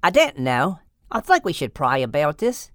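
A man's voice: on the high side, wildly swooping pitch, normal speed, fairly bright tone, no room sound, studio quality. A man voicing a fretful, cautious male praying mantis who talks in a hesitant, worried way.

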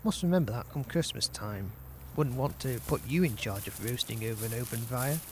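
A man playing a cheeky, bossy young schoolmate in an audio drama, teasing and quick to wriggle out of blame. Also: medium pitch, slight background noise, close to an everyday voice. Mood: cocky, teasing.

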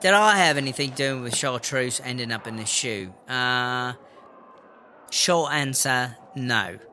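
A man's voice: mid-range voice, lively intonation, measured pace, pushed and bright. A man playing a condescending, defensive prisoner in a comedy audio drama, full of rhetorical questions. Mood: smug, defensive. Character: smug, dismissive British inmate proud of studying. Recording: noisy room ambience.

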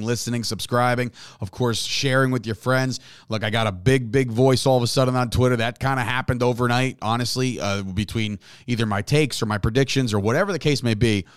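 A man hosting a sports podcast, talking in a casual, animated way and often repeating himself and asking "right?". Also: lowish voice, smooth, dry recording, studio quality. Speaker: podcast host.

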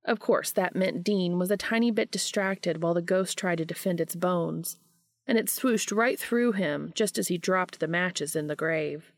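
A woman hosting a podcast and reading fan fiction aloud in an expressive audiobook style. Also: fairly low pitch, moderate pace, no room sound, clean studio sound.

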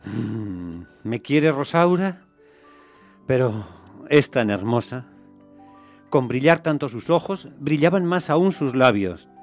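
A lovesick young bridegroom in a radio drama. He speaks very slowly, musing and hesitant, with a weary wistfulness, over faint music. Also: medium pitch, animated. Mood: wistful longing. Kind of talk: radio theatre acting.

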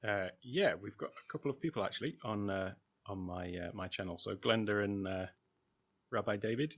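A man's voice: on the low side, normal speed, a bit bright, no echo. A middle-aged man from the United Kingdom with a British accent, talking casually as an interview guest. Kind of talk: casual conversational self-introduction.

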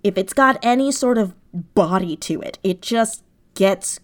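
A woman's voice: medium pitch, a little slow. Effusive woman chatting as an interview guest. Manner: effusive, emphatic, repeating words for emphasis. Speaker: interview guest, audio drama writer. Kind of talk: enthusiastic casual interview chat.

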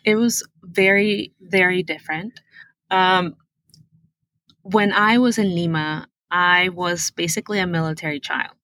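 A woman's voice: lowish voice, fairly even intonation, measured pace, a bit bright, no room sound. A woman from Peru whose first language is Spanish, a tech guest telling her life story earnestly and with animation. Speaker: guest, tech product manager. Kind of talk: interview answers, personal storytelling.

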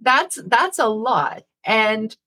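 A woman's voice: fairly high pitch, big pitch swings, very slow, bright, forceful tone. A woman hosting a career interview as a career development practitioner, who talks in a chatty way and often says "kind of". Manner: chatty, with many uses of "kind of".

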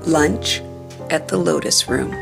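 A woman's voice: low-pitched, slow pace, gravelly, loud music behind the voice. A woman reading a scripted podcast introduction as the show's announcer. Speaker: announcer of the show's title and episode.

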